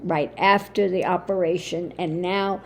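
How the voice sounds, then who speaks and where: Slow, low-voiced woman with a strained voice. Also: fairly even intonation, slight background noise. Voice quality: lowered, limited voice. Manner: slow, halting, with many fillers. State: voice weakened after throat surgery. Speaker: spiritual channel host. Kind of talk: casual monologue to camera.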